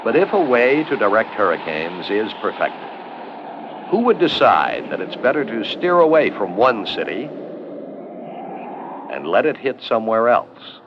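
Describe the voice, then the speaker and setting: A man's voice: fairly low pitch, big pitch swings, a little slow, noisy background. An American man delivering scripted narration for an educational film. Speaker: documentary narrator. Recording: dull 1970s 16mm film soundtrack with music. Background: background music.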